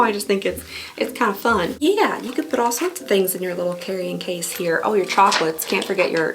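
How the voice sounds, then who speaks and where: A woman hosting a casual vlog, chatty and rambling with frequent giggles, her voice going hoarse from talking. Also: mid-range voice. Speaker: vlogger host. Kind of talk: casual unboxing review.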